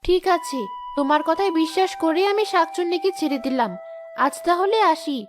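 A woman's voice: extremely high pitch, a little fast, faint music behind. A woman voicing a stern but forgiving fairy guardian in a cartoon, speaking in a solemn, firm manner. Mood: relenting. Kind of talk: animated cartoon dialogue.

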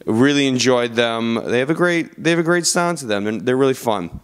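A man's voice: mid-range voice, animated, fairly quick, smooth. A man narrating a product review in an informal, conversational style, as a reviewer talking through features. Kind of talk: casual video review narration.

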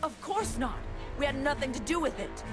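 A woman playing a firm, guarded female android in an anime game, with brisk, insistent delivery. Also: high voice, animated, music in the background. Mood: defensive. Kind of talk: anime game dub acting.

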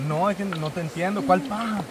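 A young man with a Salvadoran accent, quick and argumentative. He sounds a bit off-mic. Loud noise runs under his voice. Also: sits high, distant mic. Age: young adult. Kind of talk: casual group chat. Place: outdoors by a river.

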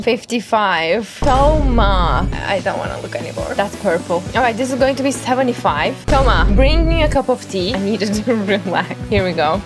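A young woman giving casual, playful and excitable commentary as she streams a video game. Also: mid-range voice. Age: young adult. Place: small indoor home room. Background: game music.

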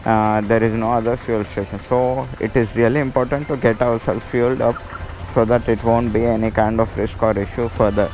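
A man with an Indian accent giving a casual, halting travel vlog narration, often repeating his phrases as he talks. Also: mid-range voice, level tone, a little mellow, rough recording. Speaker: motovlogger. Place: outdoors on a motorbike road trip. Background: music.

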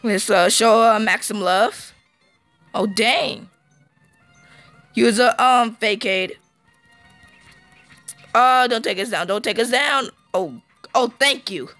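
A man with a sore, hoarse voice talks over his gameplay slowly and haltingly, filling gaps with ums and clearing his throat. The sound is dry and close, picked up on a phone. Faint game music plays behind him. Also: high-pitched, pushed and bright, no room sound. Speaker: let's play gamer. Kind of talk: casual gameplay commentary.

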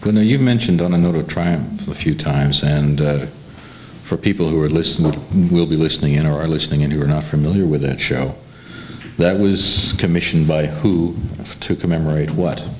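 A man's voice: rumbling low, moderate pace, darkish tone, slight background noise, poor audio. An American man, middle-aged or older, asking questions conversationally as a panel interviewer. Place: indoor room, panel table.

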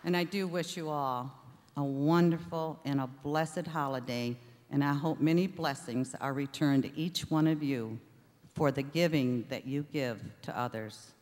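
An older woman speaks slowly at a stand mic on a large theater stage, her voice low for a woman. She tells a heartfelt story and acts out the voices in it. A long hall reverb and a faint crowd sit behind her. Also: darkish tone. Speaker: charity director. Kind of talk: heartfelt speech to an audience.

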